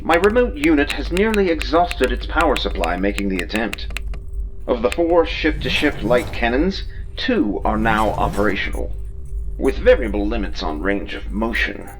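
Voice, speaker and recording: Man voicing a wry, animated starship AI. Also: medium pitch, normal speed, soft background music, lightly acted, low-quality recording. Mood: dry, grumbling. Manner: precise, matter-of-fact, wry. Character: dry, literal-minded male starship artificial intelligence. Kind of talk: scripted sci-fi audio drama.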